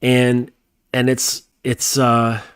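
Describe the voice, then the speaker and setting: A man's voice: mid-range voice, monotone, extremely slow, a bit bright, clear, resonant tone, no echo, clean studio sound. A man hosting his show while congested from a cold, talking nasally in a rambling casual way with lots of fillers and restarts. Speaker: podcast host. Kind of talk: casual chat.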